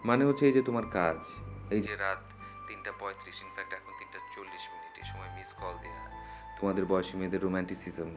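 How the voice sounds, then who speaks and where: An actor as a low-voiced, pedantic mature man. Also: fairly even intonation, loud music behind the voice, close to an everyday voice. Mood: chiding. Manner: measured, pedantic, halting. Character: mature, exacting, reluctantly charmed man woken at night. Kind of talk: Bangla TV drama dialogue.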